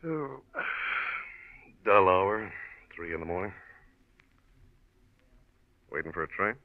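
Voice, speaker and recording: Dry American police detective, old radio. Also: male voice, sits low, animated, exaggerated voice. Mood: casual, probing. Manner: folksy and deliberately offhand, probing questioner. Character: dry, probing New York homicide police lieutenant. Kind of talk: 1940s radio drama acting. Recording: muffled old radio recording.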